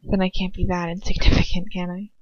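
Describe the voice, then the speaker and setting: A woman's voice: fairly low pitch, level tone, fairly quick, darkish tone, no echo, close to an everyday voice. Woman playing a wounded, defiant young witch. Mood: shaky. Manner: shaky, whispering, accusing. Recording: dull, lo-fi, dry home recording.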